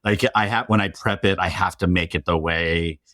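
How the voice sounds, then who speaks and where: A man's voice: sits low, fairly even intonation, normal speed, bright, forceful tone, no echo, studio quality. A middle-aged man hosting a podcast, chatting in an informal, playful, conversational way. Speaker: podcast host. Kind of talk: casual, conversational interview chat.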